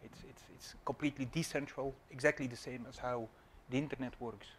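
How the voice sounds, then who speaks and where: A man's voice: mid-range voice, steady tone, a little fast, a little hiss or hum. A man on a conference panel giving a technical explanation, often repeating words.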